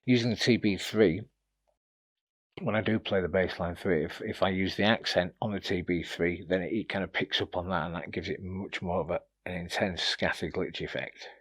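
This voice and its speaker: A man's voice: deep voice, fairly even intonation, moderate pace. A man giving a casual, informal product review, explaining features and tips in a slightly hesitant way. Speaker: gear reviewer presenting a demo.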